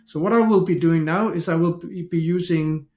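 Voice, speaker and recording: A man's voice: a little high, moderate pace, darkish tone. Danish-accented man, echoey room. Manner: chatty, self-interrupting, thinks aloud with restarts. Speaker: SEO tutorial host. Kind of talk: casual screen-share tutorial.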